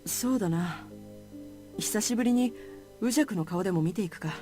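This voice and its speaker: A woman's voice: medium pitch, fast talker, warm tone, soft background music, close to an everyday voice. An actress playing a stern, composed woman in a visual novel, speaking solemnly and bluntly. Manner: solemn, blunt, matter-of-fact. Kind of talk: Japanese visual novel voice acting.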